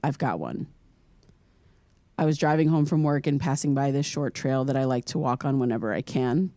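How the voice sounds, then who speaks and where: An actress playing an earnest, curious young American podcaster in an audio drama, speaking in a conversational, slightly hesitant way. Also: female voice, rumbling low, normal speed, warm tone, no echo, clean studio sound. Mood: casual, candid. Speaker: podcast host character.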